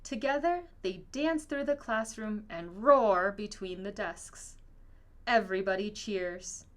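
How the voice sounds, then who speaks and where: A woman reading a children's picture book aloud in an expressive, storytelling manner. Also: mid-range voice, slow pace. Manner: expressive, acts out dialogue. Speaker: storybook reader.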